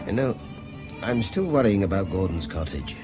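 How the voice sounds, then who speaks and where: An animated, wry British man. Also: deep voice, normal speed, music in the background. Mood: tense, weary. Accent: British English. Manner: articulate, theatrical turns of phrase. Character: educated middle-class Englishman, wry, increasingly harried and anxious. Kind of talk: naturalistic radio drama acting. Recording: noisy radio drama with background ambience.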